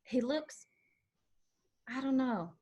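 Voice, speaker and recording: A woman playing a quick-witted, plain-spoken young bartender in a screenplay table read, casual and wry. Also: high-pitched, animated, mellow, slightly rough, full character voice. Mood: curious.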